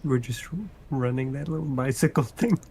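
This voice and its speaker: A man's voice: mid-range voice, steady tone, moderate pace, dark tone, slight background noise. A man from Florida joking and teasing in a casual gaming chat over a voice call. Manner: teasing, jokey.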